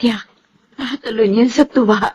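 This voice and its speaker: An actress with a Filipino accent playing a restrained, pained young wife of a soldier in a radio drama. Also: female voice, fairly high pitch, a little slow, muffled recording, slight background noise, natural voice. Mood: weary.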